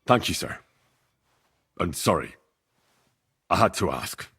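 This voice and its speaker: Husky, loyal young squire. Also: male voice, deep voice, big pitch swings, takes it slowly, a bit bright, no echo, clean studio sound, heavily acted. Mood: respectful. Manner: earnest, plain-spoken. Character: loyal, plain-spoken young blacksmith serving as page. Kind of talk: video game cutscene acting.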